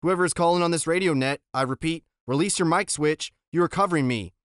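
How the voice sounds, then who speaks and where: A man voicing a calm male radio operator who speaks in clipped radio procedure. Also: on the high side, fast, clear, resonant tone, no echo, clean studio sound, natural voice. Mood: businesslike.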